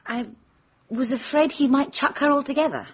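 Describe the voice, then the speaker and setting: An actress playing a guarded, intelligent young British woman in a radio drama, blunt and sharp-tongued. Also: high voice, moderate pace, close to an everyday voice. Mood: earnest, confiding. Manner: blunt, sardonic.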